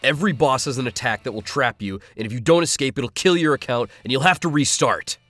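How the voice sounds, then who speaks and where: A man's voice: medium pitch, a little fast, fairly bright tone, dry recording, lightly acted. A man playing an urgent young swordsman in a fantasy game audiobook. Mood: urgent warning. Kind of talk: audiobook character acting.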